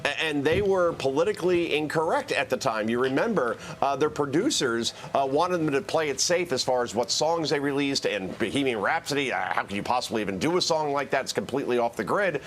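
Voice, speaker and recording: A man's voice: medium pitch, lively intonation, fairly quick, few pauses, a little hiss or hum. An American man giving emphatic political commentary as a guest on live television, building lists and rhetorical questions.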